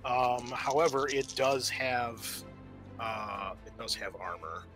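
Bright-voiced man running a tabletop game. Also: mid-range voice, slow, soft background music. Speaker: game master. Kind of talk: narrating a tabletop roleplaying game.

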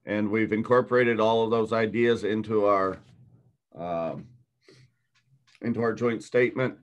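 A man's voice: on the low side, steady tone, measured pace, highs cut off. A man chairing a board meeting over a video call, walking through the agenda item by item in a businesslike way.

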